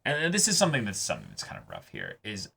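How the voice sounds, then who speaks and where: A man's voice: on the low side, wildly swooping pitch, quick pace, gravelly, pushed and bright, husky. A man co-hosting an anime reaction talk, rambling casually and acting out characters' lines.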